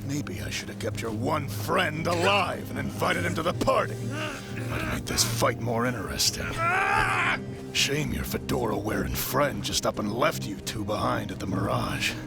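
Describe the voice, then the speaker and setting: An actor playing a cruel, cold villain in an audio drama, taunting and sneering in a creaky, breathy voice. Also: male voice, medium pitch, expressive, normal speed, over loud music. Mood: sneering, goading.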